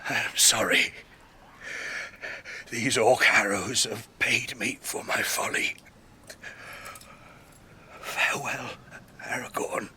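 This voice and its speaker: An actor playing a proud, remorseful dying warrior in a radio drama, halting, breathless and weak with effort. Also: male voice, on the low side, bright, forceful tone, slight background noise, heavily acted. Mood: remorseful, fading.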